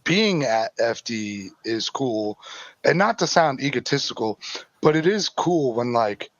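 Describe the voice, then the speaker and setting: A raspy young man rambles through relaxed podcast talk, cursing freely. His voice comes through close and dead-dry, and the sound is a little dull and lo-fi. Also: medium pitch, measured pace, no echo. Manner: loose, rambling, swears often. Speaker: drift driver guest. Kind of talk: casual podcast chat.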